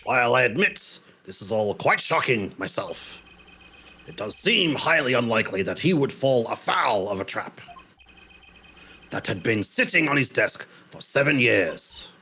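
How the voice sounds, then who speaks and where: Formal, deliberate judge for a game fan dub, woman performer, slow pace, crisp bright tone. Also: very deep voice, sing-song, full character voice. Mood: solemn. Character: measured, formal courtroom judge. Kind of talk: live amateur fan dub of a courtroom game. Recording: faint background noise.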